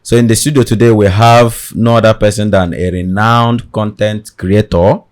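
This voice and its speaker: Nigerian man with a very low, deep voice, who hosts a podcast from a studio. He speaks in a formal, measured presenter style, welcoming his guest and addressing the viewers. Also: fairly even intonation. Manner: formal, deliberate presenter delivery. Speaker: podcast host. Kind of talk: podcast interview.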